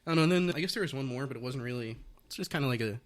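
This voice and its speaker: A man in his twenties hosting a podcast, chatting casually and rambling through stories in quick bursts. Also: mid-range voice. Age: 20s. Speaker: podcast host. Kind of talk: casual interview chat.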